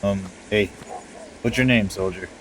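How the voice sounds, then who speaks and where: A man playing a gruff, battle-worn dwarf commander who banters folksily in a fantasy audio drama. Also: fairly low pitch, a little slow, slight background noise, lightly acted. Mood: gruff curiosity. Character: gruff battle-scarred dwarf commander, shaggy and friendly to recruits.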